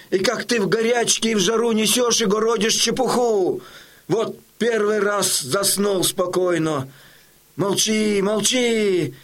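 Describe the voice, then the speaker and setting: An actor playing a loyal Cossack comrade who gruffly and insistently tends a wounded friend in an audio drama. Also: male voice, high voice, fairly bright tone. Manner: scolding, insistent.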